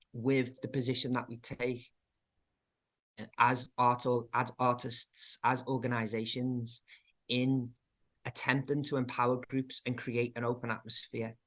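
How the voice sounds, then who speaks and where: A man with a Liverpool accent, a community arts director giving a thoughtful, halting talk over a video call with many pauses and fillers. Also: mid-range voice, fairly bright tone. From England. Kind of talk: online presentation talk.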